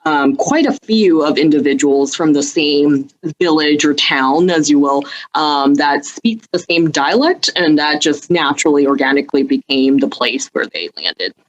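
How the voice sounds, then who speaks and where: Low-voiced woman answering interview questions. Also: lively intonation, highs cut off. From southern China, raised in Hawaii. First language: Cantonese. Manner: measured, many ums. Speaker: state health official, guest.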